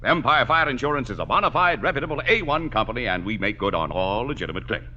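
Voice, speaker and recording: A brisk, self-assured businessman, formal and emphatic with lively intonation and a sharp, forceful tone. It is 1950s radio comedy on a dull, hissy old recording. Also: mid-range voice. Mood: formal, reassuring.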